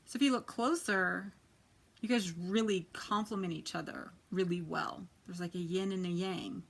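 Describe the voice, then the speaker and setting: A woman in her thirties with a quiet, soft voice, chatting casually as she gives a tarot reading. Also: lowish voice, moderate pace, vocal fry. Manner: chatty, lots of ums and likes. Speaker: tarot reader.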